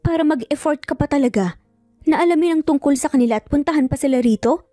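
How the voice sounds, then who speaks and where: Woman acting an angry protective mother, high-pitched. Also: fast talker, no echo, clean studio sound, soft background music, close to an everyday voice. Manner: sharp, emphatic, confrontational. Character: angry, protective mother confronting her ex-husband.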